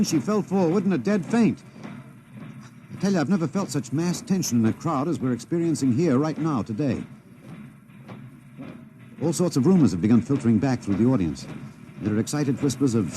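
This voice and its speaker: An actor playing a live parade announcer in a 1950s radio drama, with measured, declamatory delivery and dramatic pauses. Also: male voice, a little high, lightly acted. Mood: alarmed, then tense, uneasy. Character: male live parade announcer, excitable, solemn and grandly descriptive. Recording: vintage radio recording with background noise.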